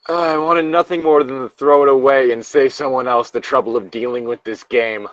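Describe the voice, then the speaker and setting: A man's voice: mid-range voice, steady tone, brisk, dry recording, muffled recording. A man reading horror stories as a co-host, with casual, sardonic, sweary asides. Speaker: co-host reading video game creepypastas.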